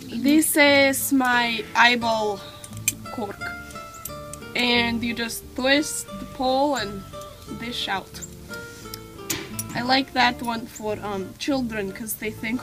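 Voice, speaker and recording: A teenage girl acting a calmly sinister female mafia boss in a mock documentary interview, matter-of-fact and full of hesitant ums, recorded outdoors on a snowy deck with music behind. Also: fairly high pitch. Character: deadpan female mafia killer, cheerfully sinister.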